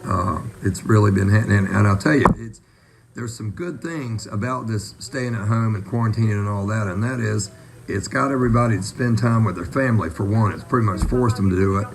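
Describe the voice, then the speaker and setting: Male net controller, lower register, casual on-air hosting. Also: a little fast, gravelly, background noise. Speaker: ham radio net controller.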